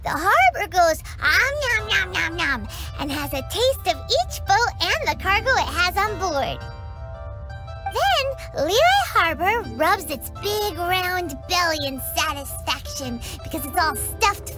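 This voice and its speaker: Brief: a tiny, chatty, playful female fairy sidekick; very high and bouncy, game music under. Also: big pitch swings, slow, heavily acted. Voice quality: high, bouncy, refers to self in third person. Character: tiny chirpy female floating fairy companion. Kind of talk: game cutscene voice acting. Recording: game score loud behind voice.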